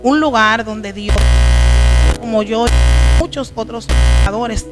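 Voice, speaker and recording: Dominican woman, earnest testimony, slightly high voice, background music. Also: slow pace. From Dominican Republic. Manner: earnest, emotional storytelling. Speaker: guest, preacher. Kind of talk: testimony interview.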